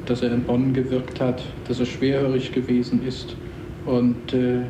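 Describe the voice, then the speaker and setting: Male speaker, casual hesitant explainer, off-mic in a roomy space with clear background noise. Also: mid-range voice, completely flat delivery, normal speed. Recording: echoey, noisy, distant from mic.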